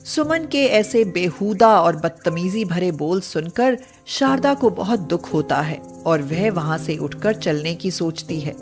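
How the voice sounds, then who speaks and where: A woman narrating a scripted story in an expressive, dramatic way. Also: fairly low pitch, unhurried, hardly any pauses, faint music behind.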